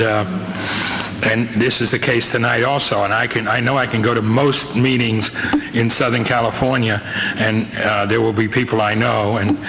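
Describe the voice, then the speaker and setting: An older man with a New York accent giving a rambling, halting, wryly humorous personal testimony to a meeting, on a noisy old amateur tape. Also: on the low side, sharp tone. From Brooklyn, New York, United States. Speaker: guest speaker at a recovery meeting. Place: meeting hall, speaking to a group.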